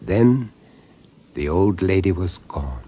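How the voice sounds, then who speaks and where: An actor narrating a supernatural radio drama as a grave storyteller, with measured, suspenseful phrasing. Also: male voice, low voice, sing-song, dark tone, a little hiss or hum, heavily acted.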